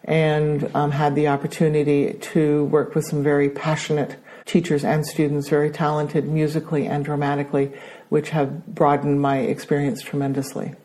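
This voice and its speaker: A woman's voice: sits low, monotone, moderate pace. A middle-aged woman with an American accent, a school principal answering interview questions with many hesitations. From Brazil. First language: Danish. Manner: hesitant, many ums, warm about her work.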